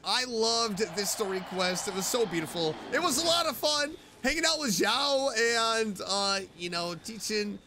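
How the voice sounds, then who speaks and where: Bright, high-voiced male game streamer. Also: a little slow, hardly any pauses, slight background noise. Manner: expressive, gushing reactions. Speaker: streamer, VTuber. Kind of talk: game playthrough commentary. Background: faint game music.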